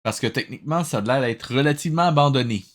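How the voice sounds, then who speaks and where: A man's voice: mid-range voice, fast. A man with a Quebec French accent giving sarcastic, nitpicking reaction commentary, dropping in English phrases. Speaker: host of a cartoon review show.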